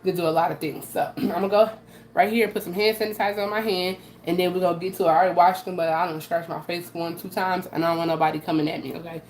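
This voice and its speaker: A woman with a raspy, slightly low voice talks viewers through a recipe in a casual, chatty way, checking in with "okay?" again and again. She is recorded in a roomy, echoey home kitchen with faint noise behind her. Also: fairly even intonation, very fast, a little mellow. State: raspy from allergies. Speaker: host of a live cooking stream. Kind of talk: casual live cooking demo talking to viewers. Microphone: camera she adjusts by hand.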